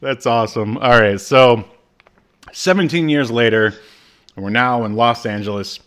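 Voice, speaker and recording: A man's voice: medium pitch, normal speed, fairly bright tone, smooth. A man co-hosting a podcast, casually recapping a TV episode in a rambling way, with lots of ums and uhs. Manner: rambling, many ums and uhs, acts out characters in quotes. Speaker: podcast co-host.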